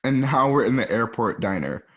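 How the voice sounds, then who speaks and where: A man's voice: medium pitch, expressive, moderate pace. Dull-sounding male narrator reading stage directions. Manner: stumbles and corrects himself while reading. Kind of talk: scripted narration for an online radio drama. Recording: dull lo-fi home recording.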